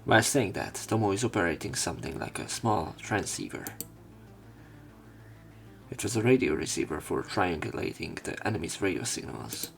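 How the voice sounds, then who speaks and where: Low, gravelly man reading game text. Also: sing-song, moderate pace, a bit bright. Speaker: let's play narrator and commentator. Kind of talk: dramatic read-aloud of game text.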